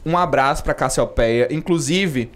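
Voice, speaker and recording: A man's voice: medium pitch, normal speed. A man hosting a casual podcast, joking and laughing often as he chats with his co-hosts. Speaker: podcast host.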